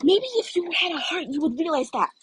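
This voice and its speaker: An indignant teenage girl, acted by a woman narrator in a storybook reading. The voice jumps up high and turns sharp and scolding as the girl stands up for a friend. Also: sing-song, fairly quick, no echo, heavily acted.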